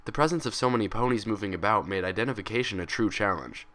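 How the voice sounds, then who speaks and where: A man reading a story aloud in an expressive, scripted narration style. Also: lowish voice, fast talker. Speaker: fan fiction reader.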